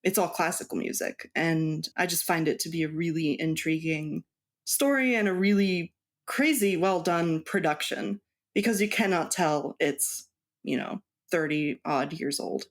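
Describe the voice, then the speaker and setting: A woman's voice: low-pitched, measured pace. A woman whose first language is Spanish, a cross stitch designer, talking casually as an interview guest and laughing often. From Mexican household.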